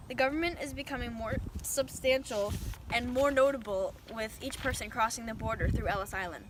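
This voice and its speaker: Teen girl as a high-voiced, fast street reporter. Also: natural voice. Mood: gushing. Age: teenager. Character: eager young female street reporter in a newscast skit. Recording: noisy amateur outdoor recording.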